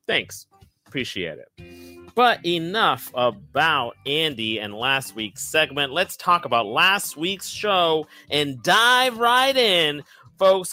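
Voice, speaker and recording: A man's voice: a little high, bright, forceful tone. A young man hosting a podcast fact-check segment, delivering it in an emphatic, sassy way. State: recovering from lost voice. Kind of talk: scripted segment read, lively.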